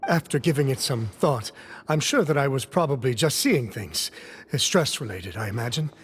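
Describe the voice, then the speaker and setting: A man's voice: mid-range voice, lively intonation, normal speed, a little hiss or hum, lightly acted. A man voicing a nervous, apologetic young inmate who talks hesitantly with small stammers in a game cutscene. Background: game soundtrack music.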